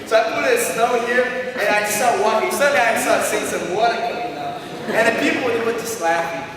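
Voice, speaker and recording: A man from Brazil, a native Portuguese speaker with a Brazilian accent, tells his life story to an audience in animated, non-native English full of fillers. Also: very high voice, brisk, crowd noise. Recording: echoey hall from far off, faint noise.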